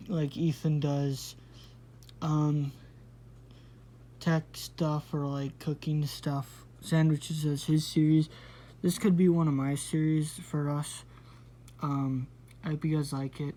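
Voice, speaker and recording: A man's voice: a little high, little pitch movement, slow, dark tone. Casual, rambling male vlogger. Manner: apologetic, informal, meandering. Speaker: video channel host. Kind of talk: casual, rambling vlog talk to viewers.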